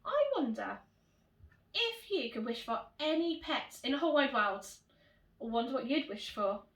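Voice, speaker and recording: In an echoey room, a woman reads a storybook to young children. Her fairly high voice moves with lots of expression and variety, like a primary teacher addressing her pupils. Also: lively intonation, normal speed, fairly bright tone. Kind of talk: animated children's storybook reading. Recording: roomy echo, distant microphone.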